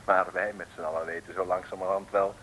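A man's voice: deep voice, little pitch movement, quick pace, bright, forceful tone, low-quality recording. A man narrating an old radio serial in a playful, theatrical, mock-heroic scripted style. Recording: old radio recording, faint noise.

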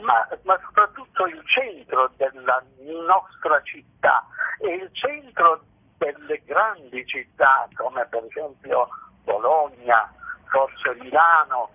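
Slow, halting older man on a tinny phone line. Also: mid-range voice, sing-song, breathy edge. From Italy. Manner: halting, repeats phrases for emphasis. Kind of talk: reflective radio interview answers.

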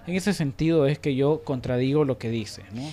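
A man's voice: medium pitch, normal speed, warm tone, faint music behind. A young man, hosting an informal on-air discussion, giving opinions with stumbles and repeated words. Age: young adult. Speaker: radio discussion host.

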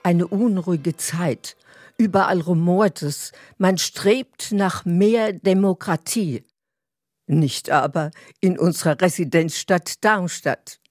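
Female narrator: slightly low register, slow scripted storytelling, deliberate phrasing, dry studio-like sound. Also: darkish tone, no echo. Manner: measured, deliberate.

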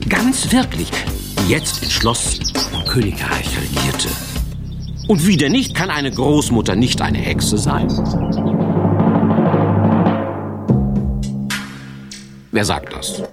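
An actor playing a quirky fairy-tale storyteller in a children's radio play, rambling and talking straight to the listener in a whimsical way. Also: male voice, mid-range voice, wildly swooping pitch, unhurried, loud music behind the voice. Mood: chiding, confiding. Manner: whimsical, digressive, addressing the listener directly.

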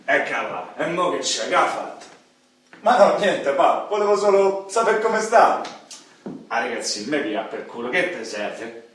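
A man playing a brash, sponging working-class hustler in a radio drama, loud and slangy with a Roman accent. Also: medium pitch, very animated, unhurried, recorded from a distance. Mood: cocky. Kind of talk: stage-style radio drama. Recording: echoey hall from afar, hissy.

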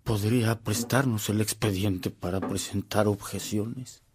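An actor playing a formal, firm but respectful lawyer with an oratorical manner in a radio drama. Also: male voice, on the low side, steady tone, unhurried, darkish tone, lightly acted. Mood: formal pleading. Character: formal, deferential yet firm male lawyer pleading a case.

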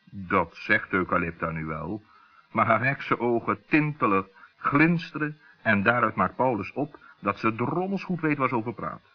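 A man with a husky voice narrating a scripted children's radio story. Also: medium pitch. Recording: dull old radio recording.

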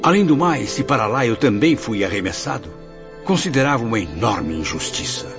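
An actor with a Brazilian accent playing a proud, embittered blind writer's spirit in a radio soap, declaiming theatrically. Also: male voice, medium pitch, lively intonation, a little fast, close to an everyday voice. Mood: haughty, indignant. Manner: theatrical, declamatory, grandiose, with swelling and sinking emphasis. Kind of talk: radio soap opera acting. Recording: dull lo-fi audio, music behind.

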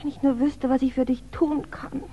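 An actress playing an anxious, tender wife in a 1960s German radio crime drama, speaking hesitantly and with emotion. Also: female voice, high voice, flat delivery, normal speed. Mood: anxious, subdued. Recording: dull, band-limited 1960s radio recording.

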